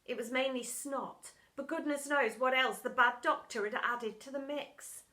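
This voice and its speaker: A woman's voice: sits high, fairly even intonation, a little slow, pushed and bright. A woman narrating a children's storybook expressively and theatrically, as a primary school storytime reader. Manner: dramatic, theatrical.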